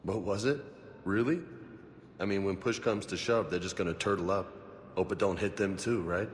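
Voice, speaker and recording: Deep male voice, streetwise soldier acted by a man, blunt, slangy, disillusioned, reverberant with faint ambience. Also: normal speed, a little hiss or hum, close to an everyday voice. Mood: blunt, determined. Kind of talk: video game dialogue.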